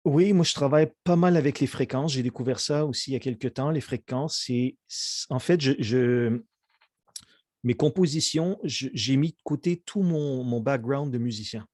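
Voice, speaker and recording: A man's voice: medium pitch, moderate pace, darkish tone, no echo, clean studio sound. A middle-aged man from Quebec, chatting as an interview guest and often hesitating over fillers and restarts. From Quebec, Canada. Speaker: musician guest. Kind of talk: casual interview chat.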